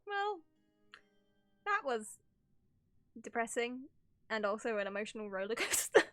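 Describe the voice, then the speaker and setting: A woman livestreaming a game, reacting in an animated, giggly way. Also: on the high side, measured pace, soft background music. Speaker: streamer. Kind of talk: live game reaction commentary.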